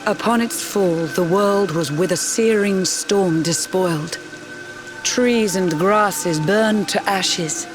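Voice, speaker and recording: Video game mermaid queen: low, unhurried, solemn rhyming narration, regal. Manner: stately, measured, grave. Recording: game music behind voice.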